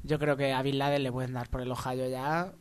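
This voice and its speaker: A man's voice: mid-range voice, little pitch movement, fairly quick, clear, resonant tone. A man with an accent from Spain, co-hosting a radio show in casual, joking banter.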